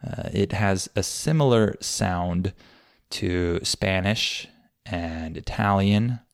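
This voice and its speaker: Slow, gravelly low-voiced man. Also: no room sound, clean studio sound. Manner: thoughtful, pausing with fillers. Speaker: language learner. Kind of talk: unscripted personal explanation.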